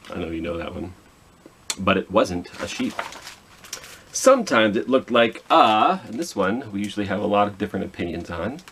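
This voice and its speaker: A man's voice: fairly low pitch, slight background noise. A man hosting a children's storytime, reading aloud with chatty asides and questions to his listeners. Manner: chatty, self-talking, asks listeners questions. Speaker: children's library storytime host.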